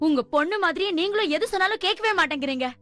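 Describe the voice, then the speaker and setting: High-pitched strict cartoon mother. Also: animated, racing, fairly bright tone, faint music behind. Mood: exasperated. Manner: scolding, instructive. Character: strict, nagging mother and exasperated wife. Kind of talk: dubbed cartoon acting.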